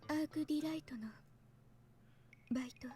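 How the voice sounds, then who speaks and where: An actress voices a shy, terse young woman from a visual novel. Her voice is high, her phrases short and hesitant, yet quietly persistent. Faint music plays underneath. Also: fast talker, lightly acted. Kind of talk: Japanese visual novel voice acting.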